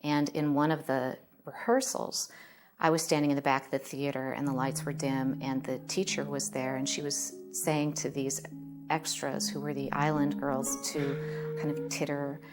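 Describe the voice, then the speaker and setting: Low-pitched woman recounting a memory. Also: flat delivery, moderate pace, over music. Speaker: documentary interviewee. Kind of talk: vivid personal storytelling.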